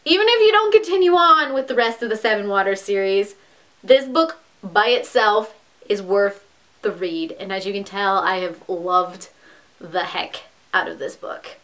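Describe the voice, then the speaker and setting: A woman's voice: medium pitch, normal speed, fairly bright tone. A young woman talking casually to camera, recommending books she loves with chatty enthusiasm and the odd restart. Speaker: book vlogger. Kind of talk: casual book recommendation vlog.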